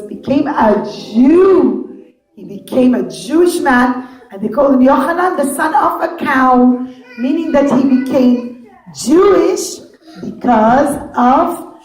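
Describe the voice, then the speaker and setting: A woman with a high voice tells stories and gives instructions to young children. She speaks slowly in simple, deliberate phrases and repeats herself. The room is noticeably echoey. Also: expressive, darkish tone. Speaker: children's teacher and storyteller.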